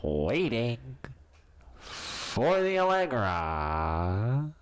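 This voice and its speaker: A man hosting a casual podcast, congested from allergies, with a nasal, stuffy voice and a drawn-out deadpan delivery. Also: mid-range voice, background noise. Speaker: podcast co-host. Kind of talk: casual chat.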